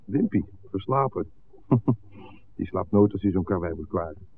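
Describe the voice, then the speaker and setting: An actor playing an anxious, insistent garage worker in a 1960s radio play. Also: male voice, deep voice, animated, unhurried, gravelly, full character voice. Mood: insistent, worried. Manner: uneasy, insistent. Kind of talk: 1960s Dutch radio drama acting. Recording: narrowband vintage radio, faint noise.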